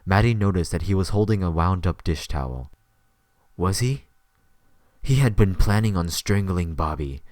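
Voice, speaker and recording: Casting: male narrator, low register, close dry recording, scripted storytelling. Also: a little mellow, dry recording.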